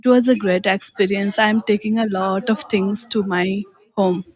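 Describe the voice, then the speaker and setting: A woman's voice: mid-range voice, steady tone, normal speed. A young woman with a Nepali accent, giving informal answers as an interviewee. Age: young adult. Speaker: interviewee, graduate student.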